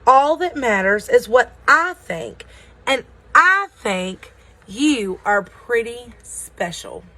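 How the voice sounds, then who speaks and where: A woman voicing a kindly, fatherly bearded craftsman in a storybook, speaking gently and thoughtfully. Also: on the high side, big pitch swings, heavily acted. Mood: reassuring. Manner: thoughtful, slow, gentle, reassuring.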